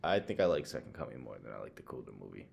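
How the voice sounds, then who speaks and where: A man's voice: very deep voice, sing-song, very fast, creaky, a little mellow, slight background noise. A man chatting casually as a podcast co-host, speaking in loose slang with some swearing. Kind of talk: casual chat, ranking films.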